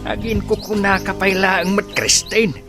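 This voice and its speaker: A man's voice: high voice, little pitch movement, unhurried, loud music behind the voice. A man with an Ilocano accent playing a domineering, greedy father in a radio drama, bossy, curt and insistent. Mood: pushy, scolding.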